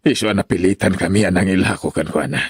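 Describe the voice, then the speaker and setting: A man playing a remorseful older brother, speaking in a halting, apologetic way in an audio drama. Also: deep voice, husky, dry recording, studio quality. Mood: ashamed. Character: remorseful older brother confessing a grave wrong. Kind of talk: amateur audio drama acting.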